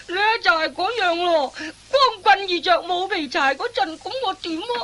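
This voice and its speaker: A woman's voice: very high voice, animated, moderate pace, pushed and bright, exaggerated voice. A woman playing a hapless, timid young male thief who whines plaintively in a Cantonese radio comedy, on a hissy old recording. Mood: tearful whining. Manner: whimpering, plaintive, tearful.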